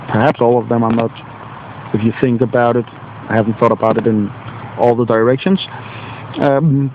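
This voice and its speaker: A man's voice: mid-range voice, narrow, tinny audio, slight background noise. A Danish man with a Danish accent and a slight cold, a teacher talking casually outdoors in a rambling, self-deprecating way, searching for English words. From Denmark. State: has a cold. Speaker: teacher and video blogger. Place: outdoors on a wet bench in nature.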